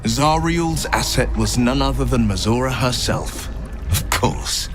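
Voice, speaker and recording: A man's voice: mid-range voice, measured pace, lightly acted. A man playing an earnest young hero in a fantasy video game, dramatic and emphatic, with music behind him. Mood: weary, resolute. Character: earnest young heroic man bound to a devil.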